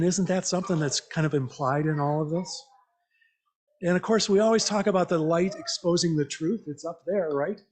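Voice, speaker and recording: A man giving an informal, interactive church message in a conversational, reflective, halting way, often checking in with questions. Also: sits high, a little mellow. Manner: invites listeners with questions. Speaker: lay church speaker.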